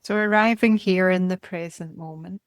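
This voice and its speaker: A woman meditation teacher leading a guided body scan in a calm, gentle, measured way, speaking in short phrases. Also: on the low side, clear, resonant tone, dry recording, clean studio sound.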